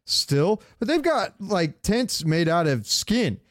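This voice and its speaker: A man talks casually as a podcast co-host. His voice sits a bit high, and the recording is dry and close. He rambles loosely from thought to thought. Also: big pitch swings, takes it slowly, dry recording. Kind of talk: casual chat about game voice acting.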